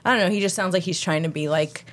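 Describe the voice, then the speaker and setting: A woman with a notably low voice chats casually as a podcast co-host. She is blunt and crude, with dry jokes. Young adult. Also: fast talker, fairly bright tone. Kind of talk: casual chat.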